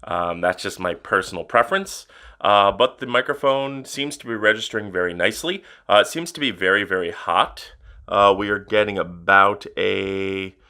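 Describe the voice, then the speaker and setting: A man with a very deep voice reviewing gear in a casual vlog, talking in a relaxed way with many uhs. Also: moderate pace, clean tone. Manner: relaxed, filler-heavy talk with many uhs.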